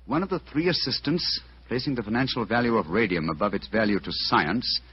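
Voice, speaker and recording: An actor playing an exacting English gentleman detective in a radio drama, incisive and articulate. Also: lowish voice, expressive, fairly quick, husky. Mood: determined. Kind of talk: old-time radio drama acting. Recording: dull, hissy old radio recording.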